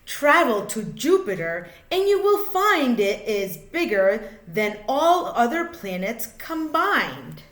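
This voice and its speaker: A woman reading a children's picture book aloud like a teacher, in an expressive, sing-song way with drawn-out words. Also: on the high side. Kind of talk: read-aloud children's storytelling. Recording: echoey room.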